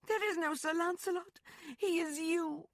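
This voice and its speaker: A man's voice: very high voice, fairly even intonation, unhurried, no echo, voice pushed up, full character voice. A man voicing a proud, wounded young woman. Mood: outcry. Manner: pleading, sharp and rising. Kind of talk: audiobook character voice.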